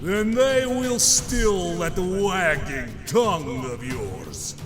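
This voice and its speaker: An actor playing a defiant, scornful Slavic riverman warrior with a gravelly, theatrical voice in a comic book audio drama. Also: high voice, lively intonation, extremely slow, over music, exaggerated voice. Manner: theatrical, raised voice.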